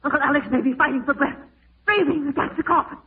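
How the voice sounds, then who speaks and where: A woman acting as an embittered, distrustful wife-like figure in an old radio horror play. Her voice is extremely high and anxious, accusing and demanding. It comes through thin, tinny vintage broadcast sound. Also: fast talker, lightly acted. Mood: insistent, suspicious. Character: suspicious, anxious, embittered woman, sharp and insistent.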